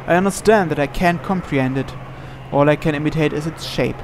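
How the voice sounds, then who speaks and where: A man's voice: on the high side, fairly quick, noisy background. A man reading visual novel narration aloud dramatically, as a let's player.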